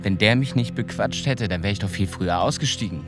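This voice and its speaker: An actor playing a disillusioned small-town car mechanic and ex-convict, talking in a halting, colloquial, ruminative way in a radio drama. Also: male voice, fairly low pitch, over music, close to an everyday voice. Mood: bitter, resigned. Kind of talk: first-person radio drama monologue.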